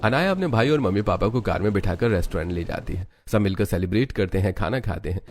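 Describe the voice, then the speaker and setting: Low-pitched male storyteller. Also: animated, fast talker, slight background noise. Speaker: story narrator. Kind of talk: scripted Hindi moral story narration.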